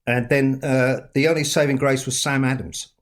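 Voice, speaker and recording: A man's voice: mid-range voice, fairly even intonation, normal speed. Chatty middle-aged London man. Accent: London English. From England. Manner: chatty, teasing, laughs while talking. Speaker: author guest. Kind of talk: casual podcast chat. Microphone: video call.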